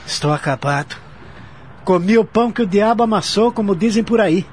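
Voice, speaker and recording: A man's voice: high voice, expressive, fairly quick, a little mellow, slight background noise. An actor playing an arrogant businessman in a Brazilian radio soap, speaking with a Brazilian accent and many tag questions. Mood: weary, bitter. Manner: haughty, hedging with tag questions and filler words. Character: arrogant, haughty businessman worn out by failed deals. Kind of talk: radio soap opera acting.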